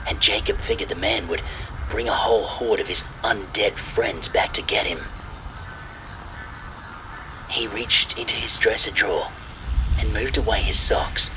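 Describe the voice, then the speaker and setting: A man's voice: fairly low pitch, noisy background. A man reading a scripted horror story as narrator, with rain and thunder sounds behind him. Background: rain and thunder ambience.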